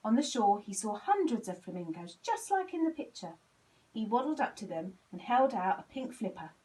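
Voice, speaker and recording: A woman reading a children's storybook aloud in an expressive, animated way, acting out the dialogue. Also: mid-range voice, normal speed, recorded from a distance, rough recording. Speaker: volunteer story reader.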